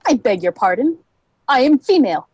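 A woman acting a high-voiced, indignant guard. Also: sing-song, moderate pace, darkish tone, husky, no echo, highs cut off, heavily acted. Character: indignant female fantasy guard. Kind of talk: character voice acting audition.

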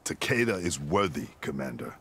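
An actor playing a stern, proud ninja clan grandmaster in a video game, speaking in a measured, formal, commanding way. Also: male voice, rumbling low, big pitch swings, a bit bright, slightly rough, exaggerated voice. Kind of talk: video game character dialogue.

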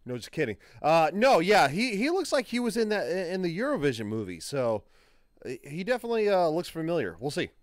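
A man's voice: high voice, sing-song, a little fast, dry recording. A man in his forties giving casual reaction commentary in a hesitant, rambling way, recorded on a close desk mic at home. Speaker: reaction video host. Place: small room at home, indoors. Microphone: close studio mic on a desk, with headphones.

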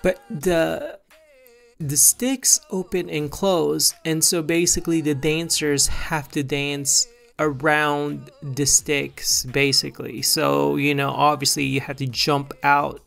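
A man in his forties who grew up in the Philippines, casually narrating his own painting timelapse with a relaxed, rambling delivery full of fillers. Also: on the high side, slow pace, vocal fry. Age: 40s. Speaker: digital artist.

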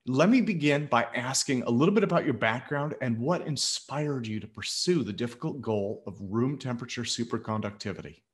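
A middle-aged man hosting an interview over a video call with a headset, reading his introduction and questions smoothly and formally. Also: medium pitch, expressive, fairly quick, few pauses. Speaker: interview host. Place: home room.

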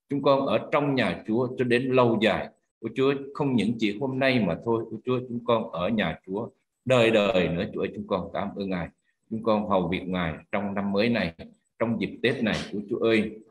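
A man, a pastor, leading a spoken prayer with fervent repeated cries to God between phrases. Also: lowish voice.

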